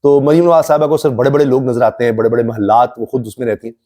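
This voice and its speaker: Male commentator, Pakistani accent, medium-pitched mellow voice, earnest explanatory monologue delivery. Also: level tone, racing. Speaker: news commentator and host. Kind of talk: political commentary monologue to camera.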